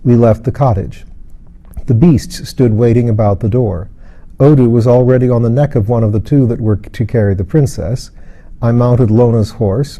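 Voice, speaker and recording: A male narrator reads literary fiction in a measured, careful way. His voice is very dark and soft-toned, with a medium pitch. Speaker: audiobook narrator.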